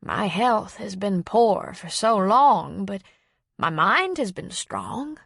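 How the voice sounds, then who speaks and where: A woman voicing a gentle, forgetful, frail old woman who speaks in a slow, halting, plaintive way for an audiobook story. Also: medium pitch, sing-song, creaky, no room sound, clean studio sound, exaggerated voice. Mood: sad, plaintive.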